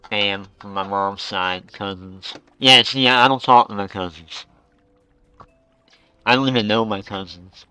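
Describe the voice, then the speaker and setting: A man's voice: fairly low pitch, measured pace, bright, forceful tone, smooth, dry recording, soft background music. A man in his forties chatting casually as he streams a game, rambling and musing aloud. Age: 40s. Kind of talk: casual live-stream chat while gaming.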